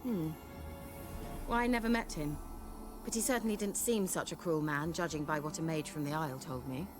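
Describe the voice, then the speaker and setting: A woman's voice: sits low, normal speed, natural voice. A woman playing a haughty wry sorceress. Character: vain sharp-tongued adult sorceress. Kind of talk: video game cutscene acting. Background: game soundtrack music.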